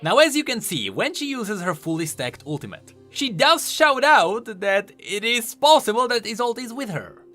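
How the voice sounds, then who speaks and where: A man's voice: fairly high pitch, sharp tone. A man hosting a game lore video, giving emphatic, explanatory voice-over commentary. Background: faint music.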